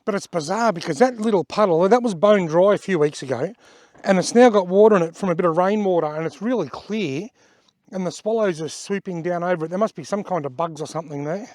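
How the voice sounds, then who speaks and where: A man with an Australian accent and a fairly high voice, a little hoarse from a cold, walks and talks his way around the wetland in a relaxed, plain-spoken way. The sound is dry and close, with hardly any room around it. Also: a little fast, dry recording. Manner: chatty and plain-spoken, pointing things out as he goes. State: slightly hoarse from a bug. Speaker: outdoor vlogger giving a tour. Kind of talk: casual walking-tour narration. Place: outdoors at wetlands by a creek and car park.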